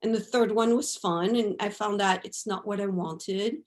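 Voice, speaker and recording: A woman, speaking at a middling pitch as an interview guest. She talks in a thoughtful, unhurried way, looking back over her life and tagging her points with "right?" and "you know". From France. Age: 50s. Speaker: guest artist. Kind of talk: reflective interview talk.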